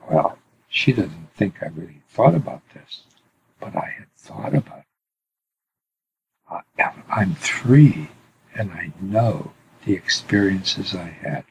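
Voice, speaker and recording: Slow, deep-voiced old man reminiscing. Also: vocal fry, a little hiss or hum, low-quality recording. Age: 80s. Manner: unhurried, pausing often, swells and drops to near whisper. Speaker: interview guest, priest. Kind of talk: slow reflective storytelling.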